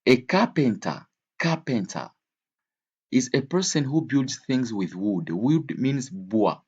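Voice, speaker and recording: Male language teacher, rough-edged husky tone, didactic repetition, explains with examples, slightly muffled lo-fi recording. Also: mid-range voice, sing-song. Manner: didactic, repeats key words slowly and clearly; switches between English, Swahili and French. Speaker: English teacher.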